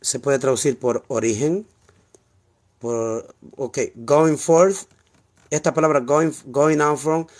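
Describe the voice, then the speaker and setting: A man with a Honduran Spanish accent walks listeners through scripture in a casual teaching tone. His voice sits at a middle pitch. He keeps repeating key words and asking rhetorical questions as he explains, sometimes drifting away from the mic. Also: fairly even intonation, slow pace, darkish tone. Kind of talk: informal Bible teaching, screen walkthrough.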